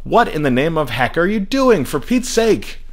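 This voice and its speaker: A man voicing an exasperated father in a comic story, shouting mild fake swear words in anguished exclamations. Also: sing-song, moderate pace. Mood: indignant. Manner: high anguished exclamations, moaning and demanding.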